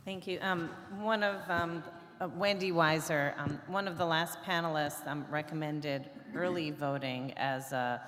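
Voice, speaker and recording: A woman's voice: sits low, steady tone, unhurried, a bit bright, slight background noise. A woman commissioner politely asking a panelist a carefully worded question. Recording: long reverb of a hearing hall.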